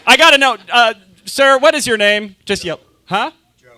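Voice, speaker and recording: A man's voice: extremely high pitch, animated, normal speed, sharp tone. A man from Phoenix co-hosting a comedy podcast, teasing audience members in live crowd work. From Phoenix, USA. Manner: teasing, talks over his co-host.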